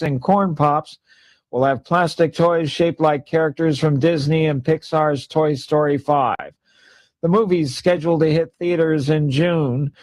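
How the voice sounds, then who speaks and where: A man reading a news report in a steady newsreader style. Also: on the high side, no room sound.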